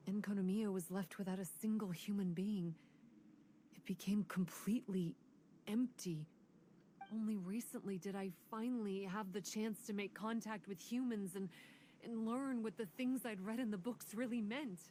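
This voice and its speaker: A woman's voice: medium pitch, warm tone, slight background noise, natural voice. A woman voicing a guarded young vishap girl. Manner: cold, grave and measured. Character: young female vishap raised by creatures, guarded and proud. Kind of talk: video game voice acting.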